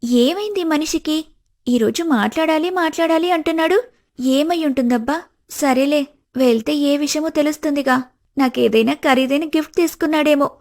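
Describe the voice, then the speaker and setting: An actress plays a young woman in a cartoon story, coy and materialistic, with a cutting tongue. Her voice is clearly high. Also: moderate pace, darkish tone. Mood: curious, eager. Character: coy, teasing, money-minded, scornful young woman. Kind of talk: scripted cartoon story dialogue.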